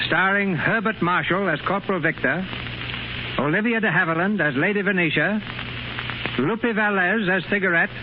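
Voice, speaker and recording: A man's voice: sits high, normal speed, background noise. A man hosting with formal, scripted 1930s radio announcing. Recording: muffled 1930s radio recording.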